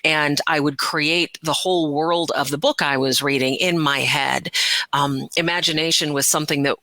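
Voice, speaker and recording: A middle-aged woman talking as an interview guest, conversational, passionate, earnest and expressive. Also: sits low, moderate pace, no room sound. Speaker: interview guest, voiceover artist and audiobook narrator.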